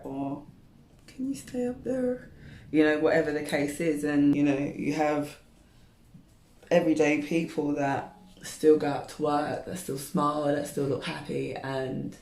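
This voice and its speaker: A woman's voice: low-pitched, a little mellow, roomy sound. A woman in her thirties chatting candidly to camera while straightening her hair, rambling with lots of fillers. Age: 30s. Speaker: beauty vlogger.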